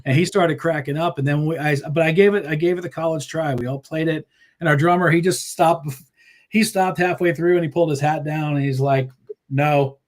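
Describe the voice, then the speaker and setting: A man's voice: fairly high pitch, a little fast. A man guest on an interview show, chatting casually about his band in a rambling way, with many fillers and restarts, laughing easily. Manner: laughs easily, animated storytelling. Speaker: musician guest.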